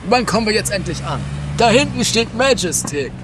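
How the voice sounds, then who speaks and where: A man's voice: high voice, sing-song, normal speed, background noise. A man playing a lazy, grumbling partner in an amateur comic radio play.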